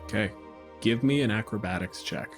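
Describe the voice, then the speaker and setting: A man's voice: on the low side, normal speed, vocal fry, over music. A man as game master, giving rulings and narrating action in short, dramatic statements.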